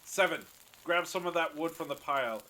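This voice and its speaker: A man's voice: fairly high pitch, moderate pace, pushed and bright, a little hiss or hum. A man playing a menacing, accusing leader in an audio drama, commanding and sneering as he interrogates. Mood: threatening. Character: menacing, accusing male leader bullying the Doctor.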